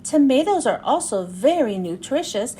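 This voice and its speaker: A friendly girl elephant from a picture book, read by a woman, speaking in a high, lively voice. She sounds polite, eager and curious. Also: normal speed, a little hiss or hum. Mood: caring.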